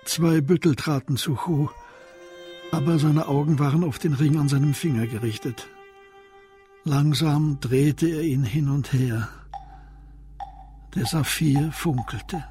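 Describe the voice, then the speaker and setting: A man narrating a radio drama as a scripted literary story, in a composed and deliberate manner. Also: fairly high pitch, warm tone, soft background music.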